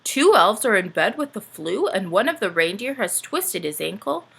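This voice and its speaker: A woman's voice: medium pitch, big pitch swings, moderate pace, gravelly, a bit bright, husky, heavily acted. A woman voicing a kindly, urgent old man, Father Christmas, in a storybook. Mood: worried.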